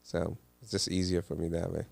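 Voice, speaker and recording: A middle-aged man from Pakistan, a cardiologist, telling his story in an interview with many pauses and ums. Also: deep voice, flat delivery, gravelly, darkish tone, no room sound. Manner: hesitant, many ums and uhs. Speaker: cardiologist guest. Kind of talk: relaxed interview answers.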